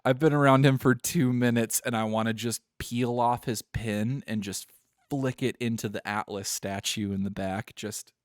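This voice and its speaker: A man's voice: medium pitch, steady tone, dry recording, studio quality. A man giving casual, joking, sweary commentary while playing a visual novel on a live stream. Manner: joking, irreverent, sweary, quick to giggle.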